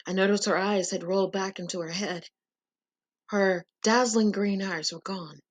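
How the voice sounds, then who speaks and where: A woman delivers dramatic scripted narration at a medium pitch. She tells the story in the first person, earnestly and with steady suspense. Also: normal speed.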